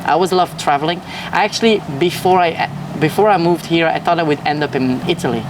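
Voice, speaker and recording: A young man from Belgium, a guest on a podcast, tells his story eagerly in a relaxed chat. He sits a little back from the mic, and a faint hum runs beneath his voice. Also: a little high, fast talker, recorded from a distance. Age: young adult. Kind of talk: casual interview chat. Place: at a hotel.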